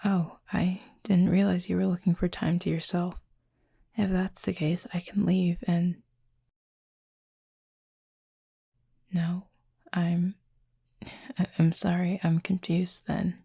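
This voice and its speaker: A woman acts out a courteous, softly curious cupid in an intimate audio roleplay. The voice is husky and breathy, steeped in gravelly creak, with a mellow tone. The phrasing is formal, measured and sincere. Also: on the low side, level tone, dry recording, clean studio sound, exaggerated voice. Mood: hesitant, puzzled. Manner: polite, formal, measured, earnest. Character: gentle, formal, curious supernatural cupid roommate.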